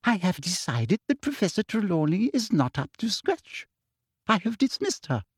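A man voicing a prim, falsely sweet female school inspector who turns shrill and menacing. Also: big pitch swings, moderate pace, slightly rough, no room sound, clean studio sound, heavily acted.

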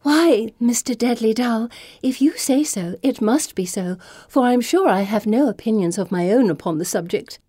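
Woman playing a high-pitched, excitable girlish young lady. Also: moderate pace, no echo, clean studio sound. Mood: simpering. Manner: squealing, gushing, singsong. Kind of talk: audiobook character voice.

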